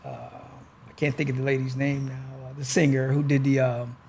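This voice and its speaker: A man hosting a podcast, talking in a relaxed, rambling way with lots of pauses and fillers. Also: mid-range voice, a little hiss or hum. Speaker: podcast host. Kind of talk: casual chat.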